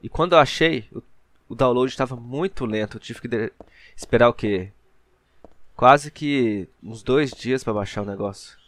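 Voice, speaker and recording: A man's voice: medium pitch, normal speed, dry recording. A young Brazilian man giving casual, slangy gameplay commentary as a channel host. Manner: colloquial, slangy asides to viewers.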